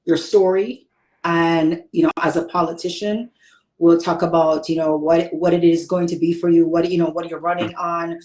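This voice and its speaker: A woman's voice: on the low side, flat delivery, normal speed, darkish tone, reverberant, low-quality recording. A woman hosting her own interview show, chatty and meandering, often restarting her sentences. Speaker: talk show host. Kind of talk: casual interview chat.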